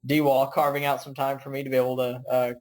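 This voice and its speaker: A man's voice: medium pitch, monotone, fairly quick, a little mellow. A man hosting a podcast, talking casually with a guest and with listeners. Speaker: podcast host. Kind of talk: casual interview chat.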